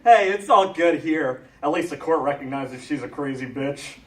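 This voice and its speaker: A man's voice: on the high side, expressive, moderate pace, fairly bright tone, recorded from a distance. A man acting as a goofy, eager single father who gushes with over-the-top enthusiasm. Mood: laughing. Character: goofy, eager dad, childishly excitable. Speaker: single father at a toy launch. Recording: echoey room, voice off-mic.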